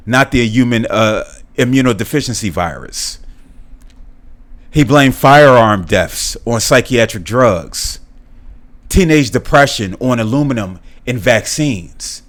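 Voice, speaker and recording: Earnest man giving solo podcast commentary. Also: a bit bright. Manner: earnest, repeats and restarts words, rises in pitch when stressing points. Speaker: podcast host.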